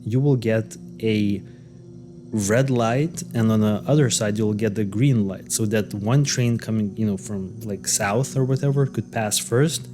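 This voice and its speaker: A man's voice: fairly low pitch, a little slow, dark tone, faint music behind. A man co-hosting a podcast, recounting a case in loose, hesitant casual chat full of fillers and restarts. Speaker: podcast co-host. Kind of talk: casual conversational storytelling.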